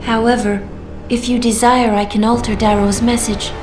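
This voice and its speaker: A woman voicing a female artificial intelligence in a video game, speaking in a smooth, measured and coolly persuasive way. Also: on the high side, flat delivery, close to an everyday voice. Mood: calm persuasion. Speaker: AI guiding the player through a choice. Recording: roomy game audio with clear music.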